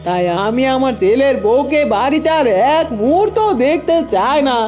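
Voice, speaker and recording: A woman's voice: high-pitched, lively intonation, moderate pace, a little mellow, faint music behind. A woman voicing a scheming, bossy older mother-in-law in a village cartoon, with a scolding, imperious manner. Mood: pleading. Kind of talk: cartoon fairy-tale voice acting.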